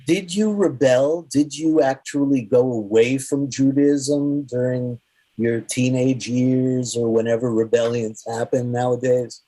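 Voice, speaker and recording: A man hosting a casual interview, chatty and quick to jump in with asides. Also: medium pitch, steady tone, mellow, low-quality recording. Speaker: host.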